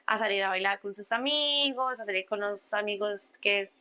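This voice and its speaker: Bright young woman, casual talk. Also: medium pitch, moderate pace. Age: young adult. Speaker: podcast guest. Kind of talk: casual conversational podcast chat.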